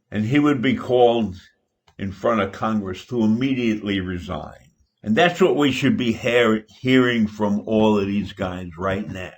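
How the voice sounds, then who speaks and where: An older man with an American accent giving a political opinion monologue, deliberate and emphatic, with dramatic pauses. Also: mid-range voice. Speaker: political commentator.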